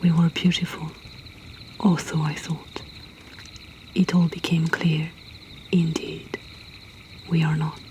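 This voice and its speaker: Whispering woman, slow and low. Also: flat delivery, darkish tone, slight background noise, poor audio. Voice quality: breathy, whispered. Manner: slow, deliberate, with long pauses. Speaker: host of a poetry reading. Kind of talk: whispered, intimate ASMR-like poetry reading by a storyteller.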